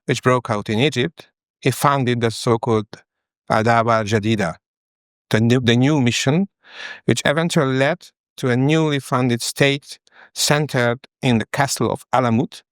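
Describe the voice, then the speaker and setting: A man from Hungary with a Hungarian accent, a professor giving formal, somewhat halting scholarly explanations as a guest. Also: mid-range voice, clean tone, no room sound, studio quality. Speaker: history professor, interview guest.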